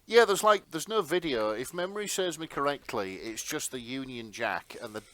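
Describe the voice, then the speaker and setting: A British man chats as a podcast co-host in a casual style. His middle-pitched voice swings up and down a lot, and the sound is dry and close. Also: animated, moderate pace, fairly bright tone, dry recording. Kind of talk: casual chat.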